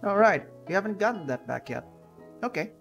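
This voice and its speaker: A woman's voice: medium pitch, big pitch swings, a little slow, creaky. A woman giving casual, laid-back playthrough commentary as a gaming video host, in short asides. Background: game music.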